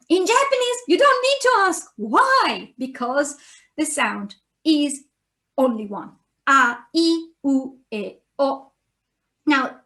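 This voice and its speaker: High-voiced Italian-accented woman teaching. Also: a bit bright. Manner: patient, spells out sounds slowly for learners to repeat. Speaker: university Japanese lecturer. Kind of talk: recorded online lesson.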